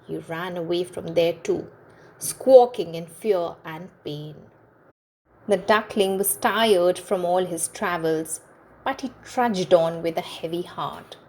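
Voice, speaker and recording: A woman reading a children's story aloud in an expressive, deliberate and instructive way, as host and narrator. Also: on the low side, poor audio.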